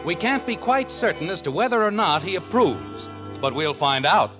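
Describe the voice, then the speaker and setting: High-pitched, animated 1950s radio announcer. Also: male voice, moderate pace. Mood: whimsical. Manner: theatrical, neighborly. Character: genial, whimsical 1950s radio show announcer. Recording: old radio broadcast, music behind. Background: music.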